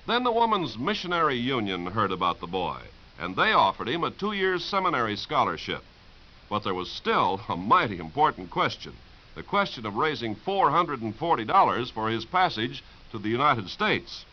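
A man's voice: medium pitch, very animated, normal speed. A man reading scripted 1950s radio narration as an announcer, in an earnest, formal manner. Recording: dull old radio recording.

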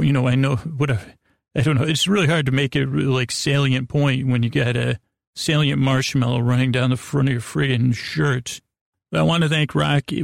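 A man with a creaky, gravelly voice hosting a bedtime podcast in a meandering, halting, lulling monologue that repeats itself and trails off. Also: medium pitch, no room sound, clean studio sound. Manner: lulling, soothing delivery. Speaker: podcast host. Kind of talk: rambling bedtime storytelling monologue.

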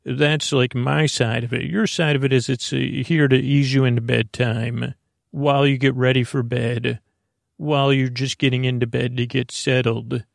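A man with a gravelly, creaky voice at a middling pitch, recorded close and dry. He rambles like a sleep podcast host, halting and restarting as he drifts into low-key, silly tangents. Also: flat delivery, no room sound. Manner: meandering, halting, often trailing off into tangents; mildly whimsical, low-key silliness. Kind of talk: rambling, unscripted bedtime sleep podcast intro.

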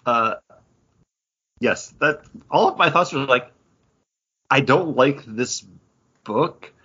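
A man's voice: medium pitch, animated, slow pace, sharp tone, husky, muffled recording. A man chatting casually as a podcast host, rambling and peppering his talk with "like.". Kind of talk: casual comic book review chat.

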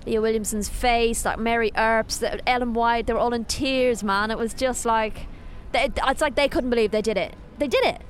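A woman co-hosting a casual podcast outdoors, animated and quick to laugh. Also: fairly high pitch, a little hiss or hum. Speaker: podcast co-host. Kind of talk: casual chat. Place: outdoors near a stadium in a city.

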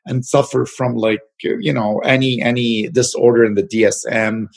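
A man's voice: mid-range voice, little pitch movement, normal speed, creaky. A man, an actor and producer, answering interview questions in a loose, searching way, repeating words and adding many fillers.

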